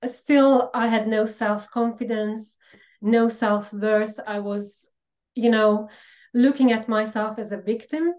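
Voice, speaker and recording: A middle-aged woman from Slovakia with a Hungarian-Slovak accent, a podcast guest telling her life story earnestly with frequent pauses. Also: on the high side, fairly even intonation, a little mellow. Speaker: podcast guest, empowerment coach. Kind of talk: personal storytelling interview.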